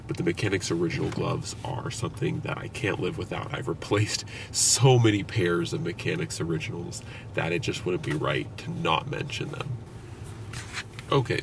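A young man talking casually about his outdoor gear from inside a parked car, with relaxed, conversational speech and plenty of fillers. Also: deep voice, gravelly. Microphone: camera on the dashboard. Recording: somewhat distant mic, noticeable background hiss.

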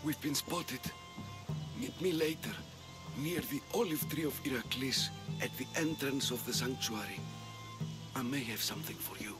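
An actor playing a nervous, guarded priest of ancient Greece in a video game, speaking haltingly and carefully. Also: male voice, medium pitch, over loud music, close to an everyday voice. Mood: hushed, urgent. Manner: halting, hesitant, careful speech. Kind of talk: video game drama acting.

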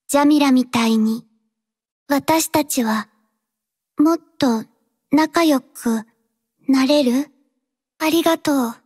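A reserved young mercenary girl from an anime-style game, with a high, light voice. She speaks slowly in short, halting phrases. Her delivery is quiet, flat and earnest, dutiful to the end. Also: husky, full character voice. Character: reserved, dutiful young female mercenary robot-arm mechanic. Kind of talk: Japanese anime-style mobile game voice lines.

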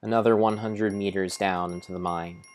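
A young man with a very deep voice narrates gameplay as if reading a heroic storybook. His delivery is deliberate and halting, with mock-grand phrasing made up as he goes. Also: fairly even intonation. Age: young adult. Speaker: game streamer. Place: indoor room at home.

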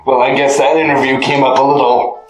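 A man's voice: medium pitch, flat delivery, fairly quick, natural voice. A man as a teasing ice-powered fighter. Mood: amused. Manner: teasing, laughs at his own jokes. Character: mocking male ice-powered fighter, cocky and giggly. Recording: echoey room, faint music.

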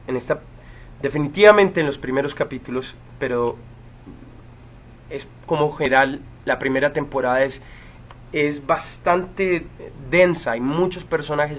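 A man in his twenties, from Chile, casually answering fan questions on a livestream from a room at home, relaxed, with many fillers and pauses. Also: a little high. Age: 20s. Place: home room with bookshelves, indoors. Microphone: webcam.

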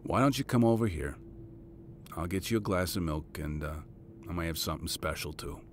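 A man acts out a military father for an audio story. His voice sits low, with a measured, fatherly delivery that is fond and encouraging. A little noise sits behind him. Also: close to an everyday voice. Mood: gentle, fond.

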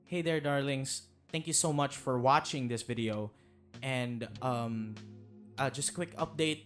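A man, the channel's creator, speaking casually to his viewers in an outro. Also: medium pitch.

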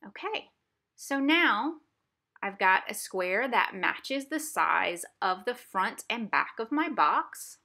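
Bright-voiced woman teaching a craft. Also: medium pitch, sing-song, slow pace, gravelly. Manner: simple, explanatory, addressing young viewers. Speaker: art instructor for a museum family program. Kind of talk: instructional craft demonstration for children. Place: indoors at a craft table.

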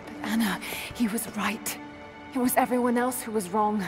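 A woman's voice: a little high, fairly even intonation, normal speed, music in the background, close to an everyday voice. A woman playing a driven, haunted young adventurer in a video game, with a British accent and an intense manner. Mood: earnest, insistent. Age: young adult. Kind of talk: cinematic video game acting.

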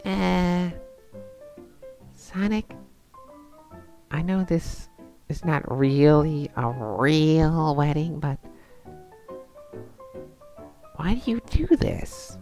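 A woman voicing a lovestruck, earnest young female hedgehog in a cartoon comic dub, sweet but pushy, with coy, drawn-out emphasis. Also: low voice, animated, warm tone, faint music behind, heavily acted. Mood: shy, touched. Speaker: voice actor in a fan comic dub.

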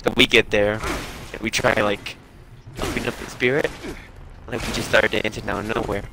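A young man telling a casual story in a halting, rambling way full of self-corrections, as a game streamer chatting. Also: lowish voice, fairly even intonation, background noise. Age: young adult. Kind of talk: casual storytelling over gameplay.

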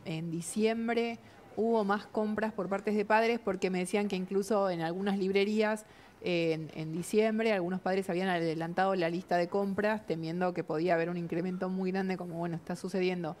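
An Argentine woman co-hosting a live TV segment asks a question in a measured, journalistic tone. Her voice sits at an ordinary middle pitch for a woman. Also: steady tone, a little mellow.

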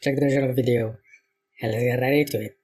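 A man's voice: mid-range voice, fairly even intonation, very fast, darkish tone, a little hiss or hum. A man hosting a casual reaction video, making short, repetitive comments on what he watches.

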